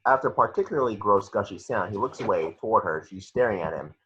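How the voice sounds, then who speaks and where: A man's voice: fairly low pitch, animated, quick pace, fairly bright tone, a little hiss or hum. A man reading scripted action descriptions as narrator for a table read, on a muffled home recording.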